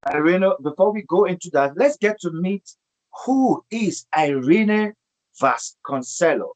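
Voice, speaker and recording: Male interview host, higher-than-average voice, emphatic presenter delivery with repeated phrases. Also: animated, measured pace. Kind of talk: online TV interview, show introduction.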